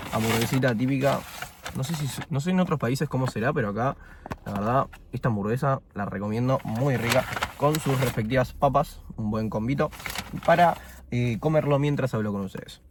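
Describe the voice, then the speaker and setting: A man in his twenties with a Buenos Aires Argentine accent, chatting casually in a vlog while eating fast food, relaxed and full of fillers. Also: mid-range voice, noisy background. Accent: Argentine Spanish, Buenos Aires. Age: 20s. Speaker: vlogger.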